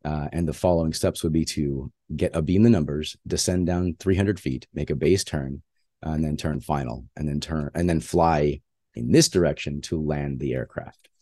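A man's voice: extremely low pitch, normal speed, warm tone, no room sound. A man who is a flight instructor, giving a plainspoken slide lecture on flying with frequent filler uhs.

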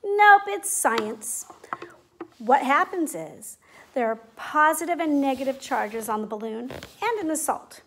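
A middle-aged woman teacher speaking in simple, clear phrases to young children, as in a read-aloud or classroom demonstration. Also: high-pitched, lively intonation, unhurried, a bit bright, a little hiss or hum. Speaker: teacher making videos for pupils. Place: indoors at home, living room and kitchen. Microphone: device mic at about arm's length.

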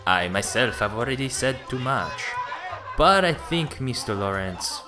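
A man playing a smooth, sociable gentleman gambler with a courteous, theatrical manner in an amateur radio play. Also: medium pitch, big pitch swings, measured pace. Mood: guarded. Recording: music and crowd chatter behind.

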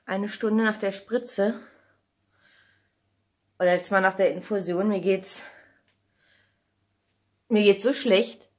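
A woman's voice: medium pitch, fairly even intonation, takes it slowly, long pauses. A woman, chatting casually to camera in an informal, thinking-aloud way on a phone at arm's length in a kitchen. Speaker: vlogger. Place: home kitchen. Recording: noisy handheld vlog recording with clicks.

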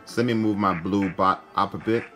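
A male gaming host with a fairly deep voice talks casually through what he is playing. He is recorded indoors, with quiet game music underneath. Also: steady tone, brisk. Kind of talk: casual gameplay commentary. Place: indoor room, filming a handheld phone.

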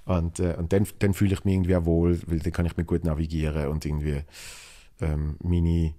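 A man's voice: low voice, little pitch movement, fairly quick, mellow. A young man from Switzerland with a Basel Swiss German accent, hosting a solo podcast and talking loosely with many fillers and restarts. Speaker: podcast host. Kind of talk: solo podcast, reading listener mail.

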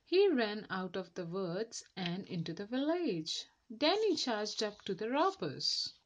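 A woman reading a children's story aloud in a careful, deliberate and expressive way. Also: medium pitch, slight background noise. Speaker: storybook reader.

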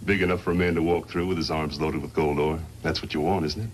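Deep-voiced crooked mine foreman. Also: steady tone, fast talker. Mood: confident. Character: tough male mine boss, crooked hired foreman. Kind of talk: 1950s radio western acting. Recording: old radio recording, faint noise.

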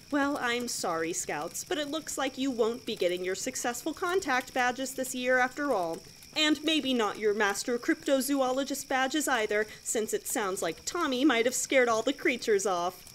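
Woman acting as prim female scout leader, audio drama, very lively pitch, condescending cheer, ambient noise behind. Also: a little slow. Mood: sweetly encouraging.

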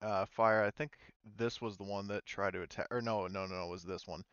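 A man's voice: lowish voice, fairly even intonation, a little fast, fairly bright tone, clean tone, no room sound, highs cut off. A man running an online tabletop game as game master, talking casually and hesitantly as he thinks aloud.

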